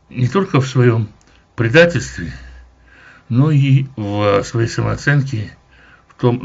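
Man, Bible teacher, husky voice, measured explanatory delivery, dull lo-fi sound. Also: medium pitch, darkish tone. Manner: measured, explanatory, with occasional hesitations. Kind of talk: verse-by-verse Bible commentary.

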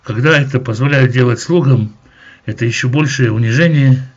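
A man teaching verse by verse through a Bible chapter, in a measured, explanatory way with occasional hesitations. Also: medium pitch, husky, lo-fi sound.

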